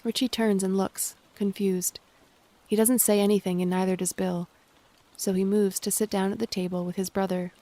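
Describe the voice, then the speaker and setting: A woman's voice: medium pitch, flat delivery, moderate pace, mellow. A woman reading scripted stage directions as the narrator of an audio drama.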